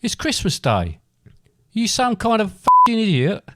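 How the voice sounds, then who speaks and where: Man putting on a high-pitched cheeky street boy. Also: wildly swooping pitch, measured pace, pushed and bright, no echo, clean studio sound, heavily acted. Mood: indignant, rude. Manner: indignant, blunt, sweary. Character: cheeky, foul-mouthed street child, boy. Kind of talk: comic pantomime acting.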